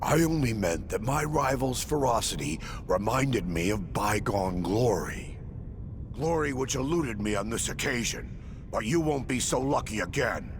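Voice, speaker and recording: Gravelly, bombastic wandering warrior. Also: male voice, expressive, unhurried, background noise. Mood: wounded pride. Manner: grandiose, florid, swinging from hushed to booming. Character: bombastic theatrical male warrior wandering between dimensions, boastful and melodramatic. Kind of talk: theatrical video game cutscene acting.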